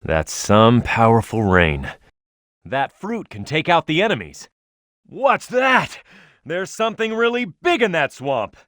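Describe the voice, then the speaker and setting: A man's voice: fairly high pitch, very animated, measured pace, fairly bright tone, no echo, studio quality. A man voicing a tough, hot-headed young echidna hero in a video game, speaking emphatically and with cocky confidence. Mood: curious, alert. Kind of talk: video game character voice lines, tutorial hints and battle shouts.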